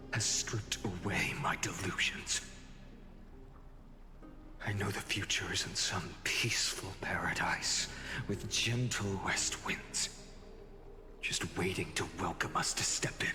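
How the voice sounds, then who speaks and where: A man's voice: low voice, fairly even intonation, slow, long pauses. An actor playing a resolute young male hero in a game cutscene, speaking with earnest determination. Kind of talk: voiced game cutscene dialogue. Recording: reverberant game audio over music. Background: game soundtrack music.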